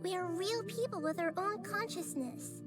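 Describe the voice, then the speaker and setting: A woman voicing a gentle, thoughtful young-looking goddess who speaks softly and carefully, over game music. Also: extremely high pitch, normal speed. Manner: soft, careful, apologetic. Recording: game audio with music behind.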